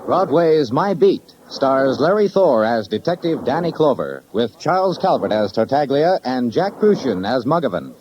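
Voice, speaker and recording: A man's voice: mid-range voice, big pitch swings, normal speed, few pauses, noisy background. An American man announcing in a scripted 1950s radio style, formal, polished and emphatic. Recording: muffled 1950s radio broadcast, loud music.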